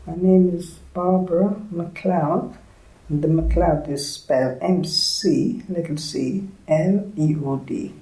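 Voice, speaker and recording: A woman in her seventies with a Jamaican accent, recalling her life haltingly in an oral history interview. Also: lowish voice, fairly even intonation, mellow. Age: 70s. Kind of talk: reminiscing in an interview. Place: indoors at an arts centre. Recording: echoey room.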